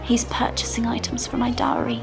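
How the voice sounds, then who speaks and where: A young maiden with a high, earnest voice, played by a woman storyteller. She is guarded and wistful yet teasing, like a storybook heroine, with music behind her. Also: monotone, moderate pace, creaky, whispered. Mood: wary, challenging. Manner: coy, earnest, wary. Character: fair young pirate-tale lass, guarded, wistful, teasing.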